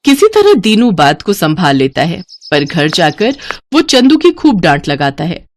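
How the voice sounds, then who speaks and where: A woman's voice: lowish voice, wildly swooping pitch, measured pace, slight background noise. A woman narrating a children's moral tale as scripted storytelling.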